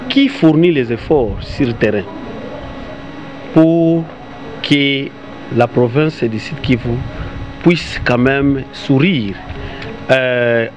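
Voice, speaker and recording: A man with a Congolese accent giving a measured, formal interview answer as a government representative. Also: mid-range voice. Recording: dull sound, clear background noise.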